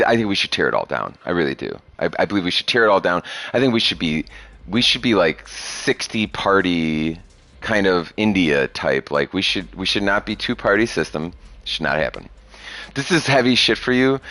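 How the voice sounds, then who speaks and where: A man's voice: on the low side, a bit bright, dry recording. A man in his thirties talking bluntly and profanely in a rambling casual podcast chat. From Chicago area. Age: 30s. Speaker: podcast host.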